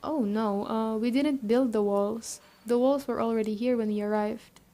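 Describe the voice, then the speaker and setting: A woman playing a young zombie-apocalypse camp chief in a roleplay audio drama, explaining things conversationally to the listener with hesitant pauses. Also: fairly high pitch, steady tone, warm tone, natural voice.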